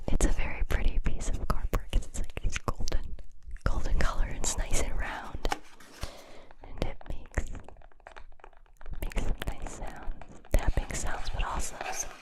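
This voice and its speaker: Slow whispering woman with a stuffy cold. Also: low-quality recording. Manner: slow, unhurried whispering with soft trailing phrases. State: congested from a cold. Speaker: ASMR creator. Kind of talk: close-up whispered ASMR. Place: home room with string lights. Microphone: close binaural mic.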